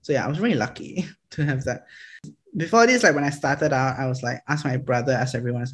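A man's voice: mid-range voice, quick pace, fairly bright tone. A young man from Malaysia, chatting in an interview, rambling with lots of "like" fillers and frequent laughs. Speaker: social media creator, guest. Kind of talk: casual interview chat.

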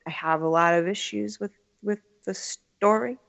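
A woman's voice: medium pitch, steady tone, normal speed, creaky, a bit bright, slight background noise. A woman actress chatting as a podcast guest, talkative, laughing and swearing freely. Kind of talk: casual interview chat.

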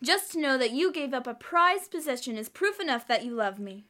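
A woman's voice: high-pitched, lively intonation, brisk, bright, forceful tone. A woman playing a sweet, devoted, self-sacrificing wife in 1940s radio comedy style. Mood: happy, devoted.

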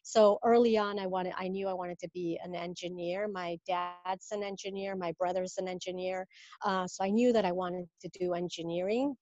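Mexican-born woman coach, fifties, lower register, thoughtful and halting, dry muffled line. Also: fairly even intonation, darkish tone, no room sound. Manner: thoughtful, with many fillers and restarts. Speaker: guest, business coach. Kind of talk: casual interview.

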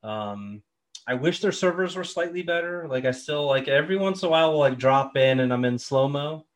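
A man hosting a casual gaming chat, relaxed and full of fillers like "um" and "like". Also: on the high side, brisk. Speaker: podcast host.

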